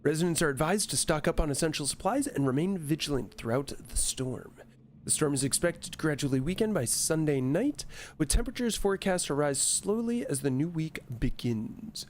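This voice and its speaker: A man with a slightly high-pitched voice commentates casually on a game, reading text out as he goes. His manner is wry and mildly profane. Some faint noise sits behind him. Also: animated, normal speed. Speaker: streamer playing a horror game.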